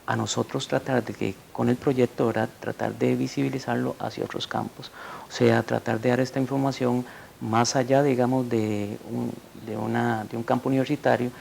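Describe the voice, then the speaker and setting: A man's voice: medium pitch, flat delivery, moderate pace, creaky, a little mellow, a little hiss or hum. A man, a researcher speaking conversationally, often tagging "verdad" and giving examples. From Costa Rica. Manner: conversational, repeats "verdad" and "por ejemplo". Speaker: researcher guest. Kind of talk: academic interview answer.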